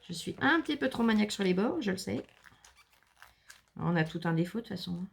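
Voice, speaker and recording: A woman's voice: medium pitch, animated, fairly quick, gravelly, slightly rough. Chatty woman showing off new craft finds. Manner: chatty, reads out color names and numbers, jokes about herself. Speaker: scrapbooking vlogger. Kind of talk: casual haul video, showing products. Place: indoors, shutters closed against the heat.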